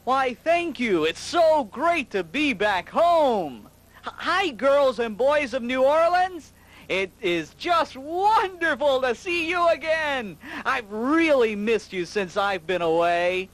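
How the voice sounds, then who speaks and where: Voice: sits high, big pitch swings, slow, a bit bright, exaggerated voice, low-quality recording. A performer voicing a sweet, childlike snowman fairy in a puppet show, polite and gushing. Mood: grateful. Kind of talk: puppet show character acting.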